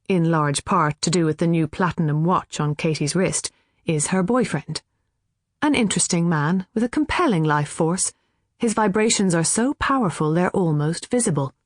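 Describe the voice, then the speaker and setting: An Irish woman reading scripted fiction as an audiobook narrator, with an expressive storytelling delivery. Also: fairly low pitch, dry recording, clean studio sound.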